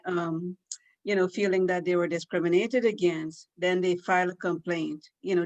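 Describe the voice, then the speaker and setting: A woman, giving measured interview answers on a video call. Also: lowish voice. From Guyana. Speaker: guest. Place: small room indoors.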